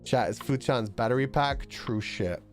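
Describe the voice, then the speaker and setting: A man hosting a casual stream, his throat sore from being unwell. He talks in a middle-pitched, easygoing way, laughing often and swearing now and then. Quiet music plays faintly in the background. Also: moderate pace. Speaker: livestreamer. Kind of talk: casual chat reading viewer donations.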